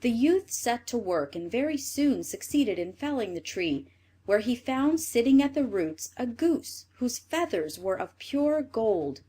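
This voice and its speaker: A woman reading a fairy tale for children as an expressive storyteller. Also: a little high, measured pace, husky. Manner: expressive read-aloud delivery.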